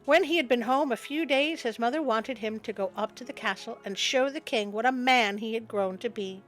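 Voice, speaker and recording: High-voiced woman reading a wry folktale. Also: normal speed, soft background music. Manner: expressive, wry. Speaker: storyteller, host. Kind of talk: storybook narration with wry asides.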